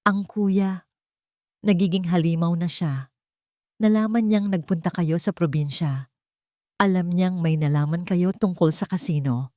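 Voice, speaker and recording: A woman's voice: fairly low pitch, a little slow, long pauses, mellow, no echo, studio quality, close to an everyday voice. A woman voicing a frightened, pleading uncle with a trembling voice in an audio story. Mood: tearful, dread. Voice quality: trembling, breaking voice. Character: terrified pleading uncle, weepy and desperate man.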